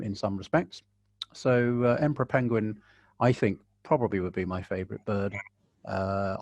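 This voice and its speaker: Calm, low-voiced man on a video call. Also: no echo. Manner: calm, measured and wry. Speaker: guest conservationist. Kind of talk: webinar Q&A, giving advice.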